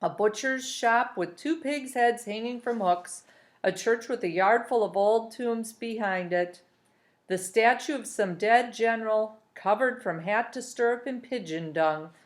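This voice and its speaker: A woman reading a storybook aloud as a teacher, in an expressive narrating style. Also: fairly high pitch, measured pace.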